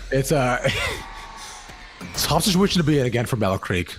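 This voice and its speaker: A man's voice: mid-range voice, sing-song, normal speed, music in the background. A man doing live esports commentary on a video game, with casual banter.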